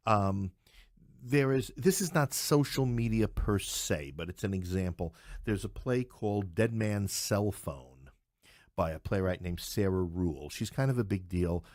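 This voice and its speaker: A middle-aged man talks casually as an interview guest in a fairly low voice. He is opinionated and blunt, and the sound is close and dry. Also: no room sound. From New York City. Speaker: interview guest, playwright and English professor. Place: podcast studio, in person.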